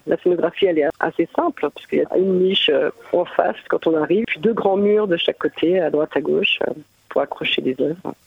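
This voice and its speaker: A woman, an artist explaining her work in a conversational radio interview, pausing often as she searches for words. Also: on the low side, creaky, breathy edge, dry recording. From Mamers, France. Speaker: visual artist, interview guest. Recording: muffled phone-like narrow band.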